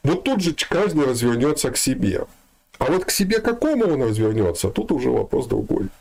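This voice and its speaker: A man's voice: medium pitch, very animated, brisk, creaky, a little mellow. A man giving an informal spiritual talk, speaking colloquially with some slang and addressing his listeners directly.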